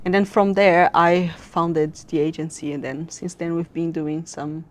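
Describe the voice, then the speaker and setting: Chatty Brazilian-raised woman, low voice. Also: steady tone, unhurried. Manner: chatty, laughs often, restarts sentences. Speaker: agency founder. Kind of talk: casual interview chat. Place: content creation studio.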